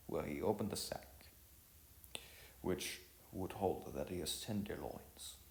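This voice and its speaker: A man's voice: deep voice, vocal fry, darkish tone. A man reading a scripted story as an amateur narrator, stumbling and repeating words as he goes. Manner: halting read with stumbles and repeats. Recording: roomy echo with music behind. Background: music.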